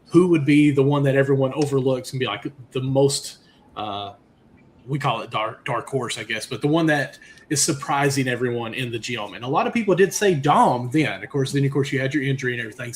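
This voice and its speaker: A man's voice: mid-range voice, slight background noise. A man hosting a casual sports podcast, asking long, rambling questions full of fillers. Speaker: podcast host.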